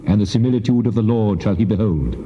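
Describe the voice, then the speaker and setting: A man's voice: fairly low pitch, fast talker, muffled recording, natural voice. Man acting a stern, cavernously echoing voice of God. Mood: solemn rebuke. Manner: solemn, weighty, declamatory. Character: God, a stern, commanding, majestic divine voice. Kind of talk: dramatized scripture audio acting. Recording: cavernous echoing hall, long reverb.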